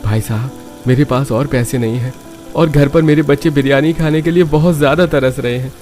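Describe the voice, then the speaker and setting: A man's voice: mid-range voice, a little fast, a little mellow, faint music behind, natural voice. An actor playing a poor, helpless village father in a cartoon, pleading and sorrowful.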